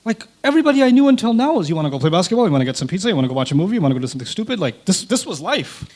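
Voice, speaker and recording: A man's voice: sits high, racing. Man telling a story, Urdu native. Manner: animated, acts out quoted dialogue. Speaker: interview guest. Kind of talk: personal storytelling in an interview.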